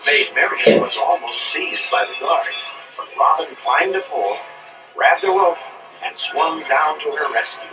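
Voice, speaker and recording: A man's voice: fairly high pitch, lively intonation, moderate pace, far from the mic, music in the background, natural voice. A man reading scripted narration for a children's read-along storybook. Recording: roomy, dull playback of old read-along record.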